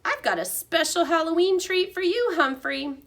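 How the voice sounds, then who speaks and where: Woman as an exuberant male night janitor, bright and animated. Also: very high voice, moderate pace, voice pushed up, heavily acted. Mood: happy. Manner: exuberant, chatty. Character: friendly, excited male night janitor in work clothes.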